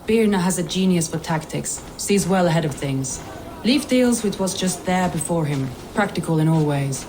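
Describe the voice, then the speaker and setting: A woman's voice: lowish voice, lively intonation, normal speed, noisy background, natural voice. A woman playing a proud, resolute Danish warrior leader, loyal to her people, in dramatic video game dialogue. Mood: measured, fond. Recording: music behind the voice.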